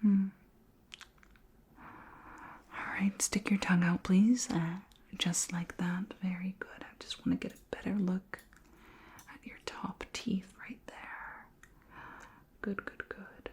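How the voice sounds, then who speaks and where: A woman playing a curious, flirty alien queen in an ASMR roleplay, speaking in a soft, breathy whisper with formal, gently clinical politeness. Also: mid-range voice, fairly even intonation, exaggerated voice. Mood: gentle, reassuring. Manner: slow, gentle, formal questioning.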